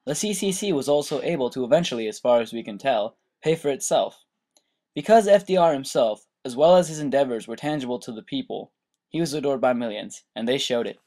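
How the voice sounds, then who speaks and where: A man delivers scripted history narration as a student presenter. His pitch is middling, and the delivery is that of a read documentary. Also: expressive, fairly quick, dark tone.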